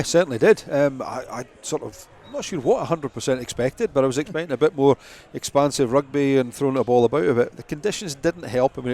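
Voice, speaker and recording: A man's voice: medium pitch, mellow. A man giving live post-match analysis as a rugby pundit.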